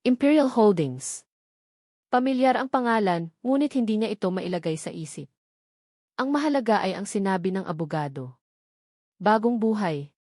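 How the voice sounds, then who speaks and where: A woman's voice: mid-range voice, lively intonation, normal speed, darkish tone, no echo, clean studio sound. A woman reading scripted dramatic story narration.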